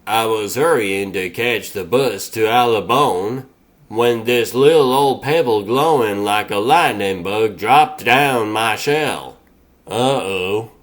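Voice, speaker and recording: Slow-drawling Southern turtle hero. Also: male voice, lowish voice. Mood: folksy rambling. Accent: American Southern. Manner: folksy drawl, rambling. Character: slow-witted folksy male turtle superhero. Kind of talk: comic dub character acting.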